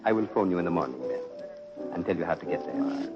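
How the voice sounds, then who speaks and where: Suave, very deep-voiced sinister prince. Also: male voice, expressive, fast talker. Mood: suave, courteous. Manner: formal, smoothly polite. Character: suave, courtly, sinister aristocratic prince, a strange man. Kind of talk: 1940s radio drama acting. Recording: muffled old radio recording, music behind.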